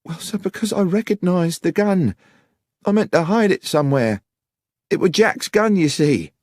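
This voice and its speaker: An earnest young country woman, voiced by a male narrator, speaking high and with lively pitch in an audiobook. She is nervous and deferential, halting, with rustic grammar, recorded close and dry. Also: no room sound. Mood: anxious, earnest. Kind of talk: audiobook character voice.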